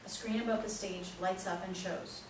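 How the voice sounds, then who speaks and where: A woman's voice: medium pitch, steady tone, normal speed. A woman reading stage directions as scripted narration for a play reading. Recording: echoey room, distant mic, noisy.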